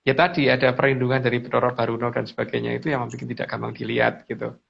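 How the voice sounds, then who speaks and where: A man explaining things casually as a guest, haltingly and with repeated phrases. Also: medium pitch, level tone, creaky, breathy edge. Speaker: guest speaker on mystical lore. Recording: dull lo-fi audio.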